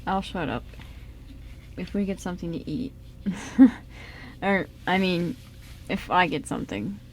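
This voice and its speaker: A woman's voice: on the low side, lively intonation, measured pace, slight background noise. A woman playing a kindly, slightly sheepish young man in an amateur radio drama, talking casually to a close friend. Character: caring, hungry young man, loyal friend of ghost.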